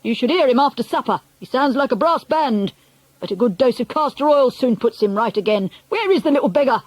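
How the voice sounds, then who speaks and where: A woman voicing an angry, indignant father who blusters and shouts in an audiobook story. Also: high voice, animated, fairly quick, dry recording, voice pushed up, poor audio. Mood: dismissive.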